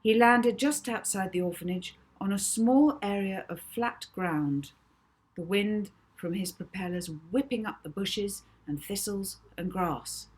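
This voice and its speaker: A woman reading a children's novel aloud as expressive audiobook narration. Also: medium pitch, unhurried, slightly rough.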